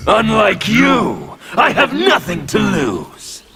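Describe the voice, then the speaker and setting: A menacing male anarchist warrior whose speech drips with contempt, his gravelly voice swinging widely in pitch. He speaks slowly and deliberately. Loud game music plays beneath the video game dialogue. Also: huge pitch swings, heavily acted. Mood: defiant. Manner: contemptuous, threatening delivery.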